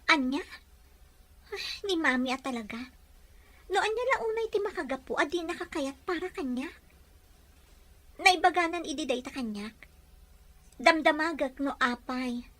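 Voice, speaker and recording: Woman acting an earnest young daughter, high bright voice. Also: lively intonation, normal speed, long pauses. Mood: indignant, loving. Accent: Filipino. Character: earnest young daughter in love, defying her mother. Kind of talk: Ilocano radio drama acting. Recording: faint background noise.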